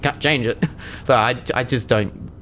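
A man's voice: mid-range voice, fairly even intonation, a little slow, fairly bright tone, slight background noise. A young man with a cold, co-hosting a podcast in an earnest, rambling way, often restarting his sentences. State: has a cold, voice deeper than usual. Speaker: podcast co-host. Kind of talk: reflective casual chat.

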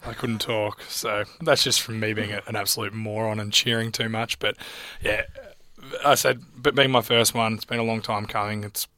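An Australian man co-hosting a sports podcast in a studio, his voice slightly croaky, chatting casually. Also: lowish voice, flat delivery, normal speed, pushed and bright, dry recording. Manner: casual, chiming in with short remarks. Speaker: podcast co-host. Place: radio studio. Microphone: studio mic.

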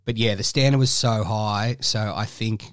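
A man chatting casually as a podcast guest, relaxed, with frequent laughs and fillers. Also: fairly low pitch, normal speed, no room sound, studio quality. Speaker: guest musician.

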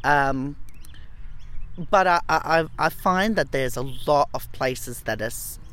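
Hesitant young man, unhurried. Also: a little high, a bit bright. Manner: hesitant, informal, trailing phrasing. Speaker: dog owner being interviewed. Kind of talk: casual chat. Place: dog park, outdoors. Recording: clear background noise on location.

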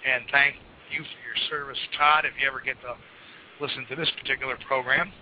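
A hoarse man hosting a casual call-in radio show, rambling with plenty of fillers and restarts. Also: mid-range voice, fairly even intonation, fairly quick, slight background noise. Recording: muffled lo-fi radio broadcast.

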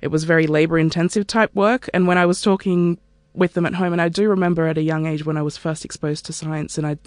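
A woman's voice: sits low, fairly even intonation, quick pace, a little mellow, no room sound, clean studio sound. A woman, a research scientist speaking candidly and reflectively about her work in an interview. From Australia. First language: Turkish. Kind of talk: interview monologue.

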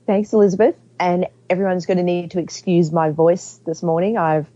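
A woman co-host talks earnestly, her voice croaky and raspy from a cold. She sounds very low and dark. The recording is dry and dull, like a lo-fi remote line. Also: level tone, normal speed, no echo. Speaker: co-host, company CEO. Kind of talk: casual podcast chat.

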